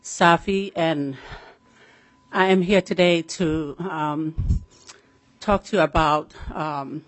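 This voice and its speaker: A middle-aged woman with a Somali accent giving earnest, halting public testimony, repeating phrases for emphasis. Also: low-pitched, no room sound. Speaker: farmer testifying at a legislative committee.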